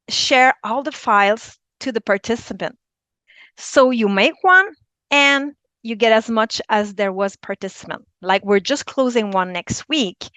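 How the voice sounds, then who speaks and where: A woman in her fifties with a Quebec French accent, a teacher presenting a webinar and often pausing to search for her words. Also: medium pitch, sharp tone, no echo. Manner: hesitant, searching for words, many ums.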